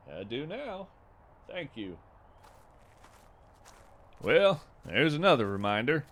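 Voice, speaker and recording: A man playing a gentle, whimsical naturalist in a fiction podcast, narrating with folksy, reflective wonder and deliberate phrasing. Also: mid-range voice, big pitch swings. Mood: warm, grateful. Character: gentle, whimsical male naturalist marvelling at strange creatures. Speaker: host and narrator. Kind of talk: scripted fiction podcast narration, storytelling monologue.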